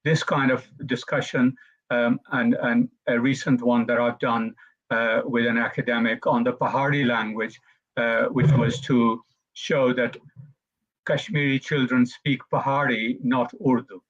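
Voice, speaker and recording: A man speaking on an online panel, measured and thoughtful, pausing often with fillers. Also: medium pitch, flat delivery, fairly bright tone, dull audio. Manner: measured, thoughtful, many hesitations.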